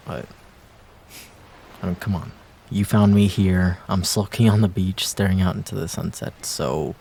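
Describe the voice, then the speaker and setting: Low-voiced wistful young man acted out by a man. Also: gravelly, mellow, slight background noise, exaggerated voice. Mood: wry, downcast. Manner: hesitant, casual, trailing off with pauses. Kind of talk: intimate roleplay audio drama.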